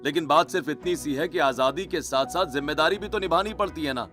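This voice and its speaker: A man's voice: fairly high pitch, brisk, bright, forceful tone, faint music behind. A man voicing a stern young husband in a cartoon story, firm and accusing. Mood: stern, reasoning. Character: stern, angry young husband scolding his wife.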